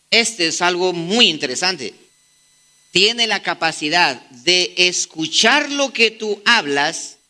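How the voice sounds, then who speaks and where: A man's voice: sits high, sharp tone. A man preaching a sermon, firing rhetorical questions at his listeners and urging them to look things up. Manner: emphatic, rhetorical questions to the congregation.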